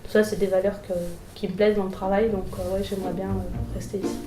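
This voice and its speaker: A woman's voice: medium pitch, flat delivery, fast talker, warm tone. Young woman in echoey noisy room. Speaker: apprentice.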